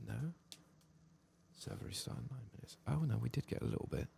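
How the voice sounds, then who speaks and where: A man doing soft-spoken, whispered ASMR game commentary in a hushed, breathy, gravelly voice, murmuring without hurry. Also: medium pitch, big pitch swings, brisk, slight background noise. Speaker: ASMR game playthrough host.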